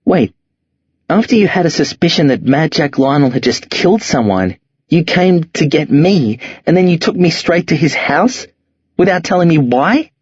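A man voicing a frightened, bookish teenage boy whose voice rises high and strained under stress, on a dull, lo-fi recording. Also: very animated, measured pace, a little mellow, slightly rough, dry recording, heavily acted. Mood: outraged. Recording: dull lo-fi audio.

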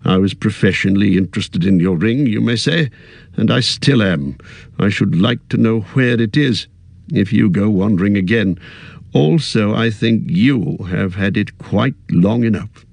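Grave old wizard played by a male narrator, low, slow and measured, gravelly grain. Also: a little mellow, no room sound.

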